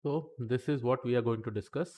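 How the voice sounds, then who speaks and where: A man's voice: mid-range voice, a little fast, a little mellow. A man teaching statistics in a live-streamed lesson, chatty and talking to the chat between points, saying okay often.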